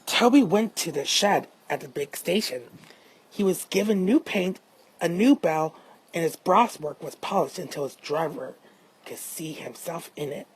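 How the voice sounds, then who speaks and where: A woman's voice: on the low side, lively intonation, unhurried, a little hiss or hum, low-quality recording. A woman narrating a children's storybook as an amateur reader, now and then stumbling over her words.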